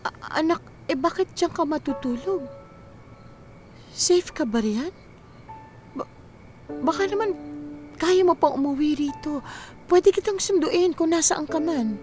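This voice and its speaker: A woman's voice: high voice, a little slow, long pauses, darkish tone. A woman acting an anxious, ailing mother in her forties in a Filipino radio drama, hesitant and stammering when worried. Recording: background music under the voice.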